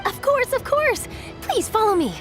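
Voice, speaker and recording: A woman's voice: very high voice, very animated, normal speed. A woman voicing an upbeat young female reporter who speaks in a friendly, persuasive way, in a game with music. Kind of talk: game voice acting. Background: game background music.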